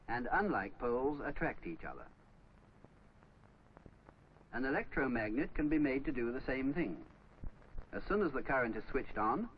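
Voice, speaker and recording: A man narrating a scripted 1950s instructional film, explaining step by step in a didactic way. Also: medium pitch. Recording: dull, noisy old 1950s film soundtrack.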